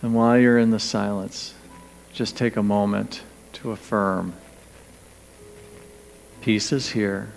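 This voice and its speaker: A man leading a guided meditation, speaking gently and soothingly in short, spaced phrases with pauses. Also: lowish voice, fairly even intonation, vocal fry. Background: background music.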